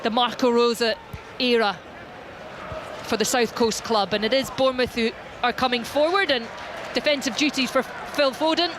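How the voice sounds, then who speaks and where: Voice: mid-range voice, moderate pace. A football commentator describing live match play in a radio sports commentary style, with outdoor stadium ambience behind. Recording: clear ambient noise behind.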